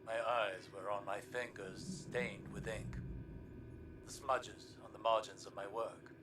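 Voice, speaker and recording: An actor playing a reflective, caring male scholar in a game, speaking in a measured, contemplative way. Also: lowish voice, fairly even intonation, close to an everyday voice. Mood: regretful. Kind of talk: scripted game cutscene dialogue. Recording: distant from mic, noisy ambience.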